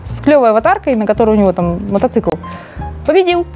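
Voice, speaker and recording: A young woman co-hosts a casual live stream, chatting and teasing as she reads out giveaway winners. Her voice sits fairly high and sounds mellow and soft. Light music plays quietly in the background. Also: very animated, quick pace. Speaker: stream co-host.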